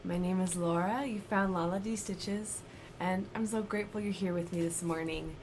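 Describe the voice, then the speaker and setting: A woman in her thirties chatting at home about her stitching, slightly raspy from a cold and laughing often. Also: fairly low pitch, steady tone, normal speed, darkish tone, a little hiss or hum. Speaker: cross-stitch vlogger. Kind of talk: casual chat to camera. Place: home, indoors.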